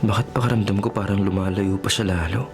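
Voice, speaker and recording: A man's voice: lowish voice, steady tone, quick pace, over music, natural voice. An actor playing a clingy, jealous, lovesick young man in a radio drama, with a Filipino accent. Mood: brooding. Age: young adult. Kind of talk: Tagalog radio drama acting.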